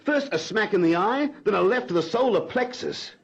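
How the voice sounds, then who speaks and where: Brash, flirtatious bachelor, wildly lively intonation. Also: male voice, high voice, normal speed, gravelly, husky, full character voice. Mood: indignant. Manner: direct, talkative, teasing. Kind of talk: radio drama acting. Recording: dull lo-fi old radio recording.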